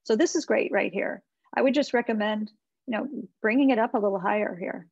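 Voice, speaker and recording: An American woman in middle age talks conversationally, with plenty of fry in her voice. She sounds like an encouraging consultant thinking aloud as she reviews work. The sound is close and dry, slightly dulled by a video call. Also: medium pitch, brisk, a little mellow, no echo, lo-fi sound. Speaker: webinar presenter, website marketing consultant. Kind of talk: informal website critique and advice. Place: small indoor room with bookshelves. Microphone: computer mic on a video call.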